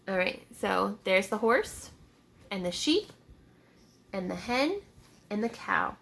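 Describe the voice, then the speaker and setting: A woman teacher reading a picture book aloud to young children, deliberate and child-directed, pausing to explain words and ask simple questions. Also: mid-range voice, very animated, vocal fry, fairly bright tone.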